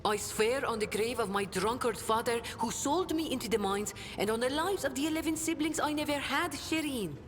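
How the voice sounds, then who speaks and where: An actress playing a sly, sardonic smuggler in a video game, with a theatrical, mocking, flowery delivery. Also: female voice, on the high side, animated, normal speed, hardly any pauses, in a large hall, slight background noise, natural voice.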